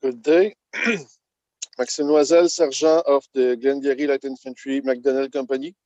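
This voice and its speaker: Man, careful halting English. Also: medium pitch, steady tone, darkish tone, dry recording, lo-fi sound. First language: French. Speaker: sergeant.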